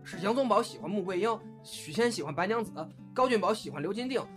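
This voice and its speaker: A man's voice: sits high, lively intonation, fast talker. A man playing a shy, earnest young performer in an audio drama, speaking hesitantly with feeling. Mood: nervous, earnest. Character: shy, earnest young male comedy performer, anxious in love. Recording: music behind the voice.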